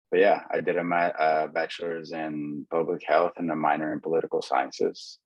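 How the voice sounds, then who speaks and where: Very deep, husky man presenting. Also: no echo, muffled recording. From Brazil. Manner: explanatory, with frequent restarts and fillers. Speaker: medical student and mentor presenting. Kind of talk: webinar presentation.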